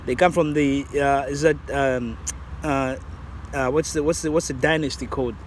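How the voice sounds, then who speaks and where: A man's voice: medium pitch, steady tone, moderate pace, slight background noise. A man, talking casually and reflectively in a vlog, rambling with many restarts. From Zambia. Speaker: vlogger.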